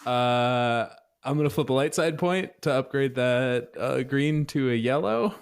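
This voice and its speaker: A man's voice: medium pitch, unhurried, smooth. A man chatting casually as a player in a tabletop roleplaying game.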